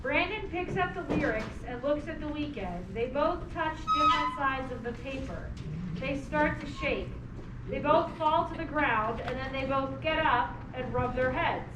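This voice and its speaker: Casting: woman play narrator and discussion leader, high-pitched, encouraging; distant, noisy room recording. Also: animated, a little slow. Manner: encouraging, repeats phrases. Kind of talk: reading stage directions and leading discussion. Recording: noisy echoey room, far from mic.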